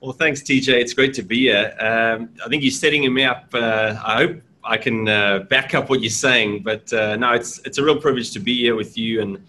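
A man's voice: medium pitch, fairly even intonation, normal speed, few pauses, pushed and bright, slight background noise. A middle-aged man from South Africa, a school deputy principal, talking earnestly and reflectively in a casual interview over a video call. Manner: earnest, reflective, lots of fillers. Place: indoors, small room, video call. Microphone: video call webcam.

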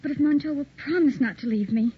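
Actress as frightened countess, high voice, vintage radio horror acting, muffled recording, faint noise. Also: female voice, fast, close to an everyday voice. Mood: anxious. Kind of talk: 1960s old-time radio horror acting.

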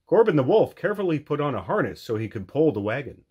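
A man reading a children's storybook aloud as an animated storytime narrator. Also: on the high side, fast, husky, muffled recording. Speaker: storytime reader.

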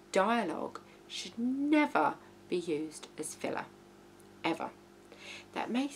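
A woman in her thirties giving blunt, emphatic writing advice in an informal video from a living room. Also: lowish voice. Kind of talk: informal video tutorial, talking to camera. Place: small living room indoors. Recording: hum and background noise.

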